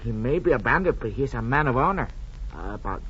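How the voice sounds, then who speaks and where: An actor playing a meek, nervous Mexican ranch hand, hesitant and deferential, in 1940s radio style. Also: male voice, mid-range voice, animated, quick pace, a bit bright, natural voice. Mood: sheepish. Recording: muffled, faintly noisy vintage radio recording.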